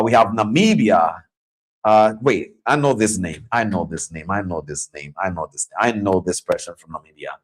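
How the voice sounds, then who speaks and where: Guy running a live trading class, lowish voice, chatty, keeps repeating stuff. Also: rough recording. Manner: chatty and repetitive, greets viewers warmly by name. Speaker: trading coach and host. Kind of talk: live-stream masterclass with audience shout-outs.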